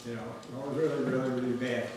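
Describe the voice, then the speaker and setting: An older man, a war veteran, recalls wartime memories in a halting way, repeating words and dropping his voice low. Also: steady tone, a little mellow. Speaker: veteran speaker. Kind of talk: personal war memories at a ceremony. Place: grange hall. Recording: echoey hall, voice from far off.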